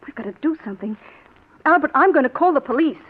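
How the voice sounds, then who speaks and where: An actress playing a worried, insistent wife in a 1940s radio drama, earnest and full of questions. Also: female voice, high voice, lively intonation, fast, over loud music. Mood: anxious, determined. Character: worried, insistent wife, anxious about her missing mother. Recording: tinny, hissy 1940s radio recording.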